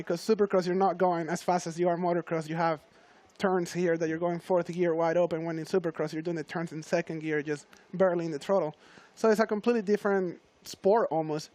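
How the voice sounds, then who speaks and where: Quick high-voiced young man, relaxed. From Venezuela. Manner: relaxed, reflective, talks at length. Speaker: guest motocross rider. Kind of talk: studio interview. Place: TV studio.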